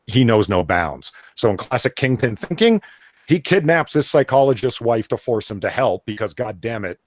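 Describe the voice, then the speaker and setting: A man with a very deep voice talks casually as a panelist on a comics show. He is opinionated and swears freely. The sound is dry, with no room on it. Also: no echo. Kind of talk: casual comic book review chat.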